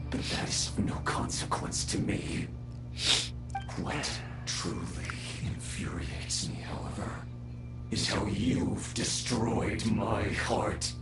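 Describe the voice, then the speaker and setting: An actor playing a grandiose, coldly wrathful undying villain in a video game, with theatrical, ominous delivery. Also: male voice, medium pitch, reverberant. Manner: slow, theatrical, ominous declamation. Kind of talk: dramatic video game voice acting.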